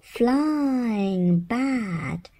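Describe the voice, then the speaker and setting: A woman's voice: on the high side, expressive, drawn-out words, mellow, a little hiss or hum. A woman reading a picture book aloud to babies and toddlers in a playful sing-song way, repeating words. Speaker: children's read-aloud channel host.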